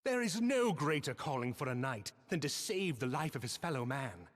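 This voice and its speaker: A man's voice: a little high, big pitch swings, normal speed. A man playing an idealistic, gallant young lord's knight in a fantasy game, fervent and declamatory in formal archaic speech. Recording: long hall reverb.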